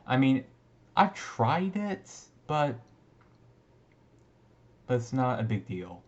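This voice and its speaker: Man acting male vampire classmate, bashful, teasing, slow-paced, hesitant, romantic audio roleplay, dull recording. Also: medium pitch, sing-song. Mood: casual, explaining. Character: shy young-looking male vampire, emo goth classmate, sweetly smitten. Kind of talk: romantic roleplay audio drama, talking to listener.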